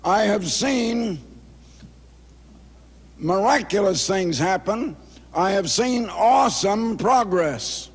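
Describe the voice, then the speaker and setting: A man in his eighties, hoarse, giving a formal speech at a banquet in slow, emphatic phrases. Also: high voice, expressive. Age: 80s. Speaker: speaker at a banquet. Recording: reverberant banquet hall.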